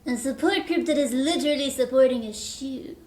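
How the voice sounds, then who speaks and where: A woman's voice: sits high, a little fast, darkish tone, close to an everyday voice. A woman voicing a grim, commanding piece of bubble gum in an audio comedy, with clipped, dramatic pronouncements in a roomy space. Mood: dour. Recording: roomy sound, faint background noise.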